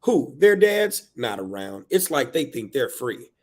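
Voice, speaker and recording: A man's voice: medium pitch, very animated, a little slow, darkish tone, voice pushed up. A man voicing an exasperated, overwhelmed single mother of three in a dramatic, emphatic spoken-word book reading. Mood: weary, defensive.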